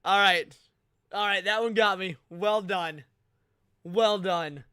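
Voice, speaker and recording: A man's voice: high-pitched, takes it slowly, bright, forceful tone, clean tone, no room sound, studio quality. A man hosting a livestream, giving casual reaction commentary full of swearing and slang. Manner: profane, slangy. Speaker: livestream host. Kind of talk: casual live reaction commentary to horror series.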